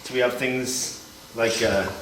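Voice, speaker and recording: A man giving an informal, explanatory demonstration as a Foley artist, pausing as he shows each item. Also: mid-range voice, fairly even intonation. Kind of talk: informal demonstration of sound-effect props. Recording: echoey, distant mic, loud noise and clicks.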